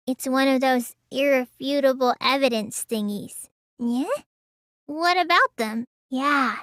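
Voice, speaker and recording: Casting: small teenage girl mage for a game; childish, bright, sluggish and whiny, recorded close and dry. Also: high voice, dry recording, exaggerated voice. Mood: matter-of-fact. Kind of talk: English video game voice acting.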